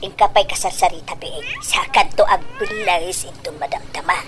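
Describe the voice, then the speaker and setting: A woman playing a scheming, greedy, pushy middle-aged mother in a comedy radio drama, gushing with drawn-out exclamations in an Ilocano accent. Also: lowish voice, a bit bright, a little hiss or hum, lightly acted. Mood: nagging, insistent.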